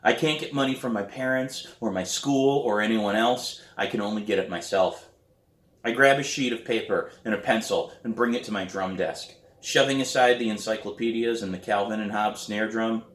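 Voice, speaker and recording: A man reading a novel aloud as its author, with expressive, deliberate and dramatic storybook narration. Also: on the low side, reverberant.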